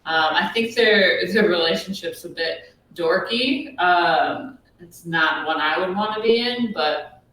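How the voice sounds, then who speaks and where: Woman with a low voice chatting on a podcast, bright edge, really echoey and a bit far from the mic. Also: slow, few pauses. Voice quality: deep voice for a woman. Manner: musing, hesitant, with many ums and likes. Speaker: podcast co-host. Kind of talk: casual recap chat.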